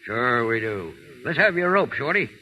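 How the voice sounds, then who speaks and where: An actor playing an excitable, eager cowhand with a raspy voice, in 1930s radio drama style. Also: male voice, mid-range voice, normal speed. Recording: crackly 1930s radio broadcast.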